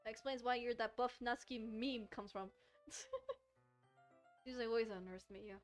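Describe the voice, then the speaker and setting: High pitched girl streamer, woman doing lets play reactions, animated n exclaiming, faint music behind. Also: normal speed. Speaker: video game streamer. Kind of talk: playthrough commentary with reactions, reading dialogue aloud.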